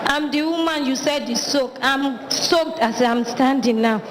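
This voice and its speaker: A woman's voice: high voice, unhurried. A woman from Nigeria making a repeated public plea for prayer in church. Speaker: congregant pleading for prayer. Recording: reverberant hall, noisy.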